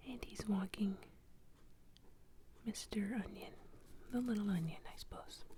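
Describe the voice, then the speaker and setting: A woman telling a bedtime story in a soft, unvoiced whisper. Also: medium pitch, a little slow, slight background noise.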